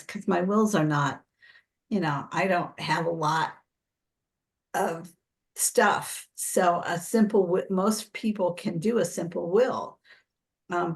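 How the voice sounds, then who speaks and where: A woman's voice: on the low side, vocal fry. An older woman with an American accent, explaining things conversationally as a preparedness coach in an informal workshop and often correcting herself. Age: 60s or older.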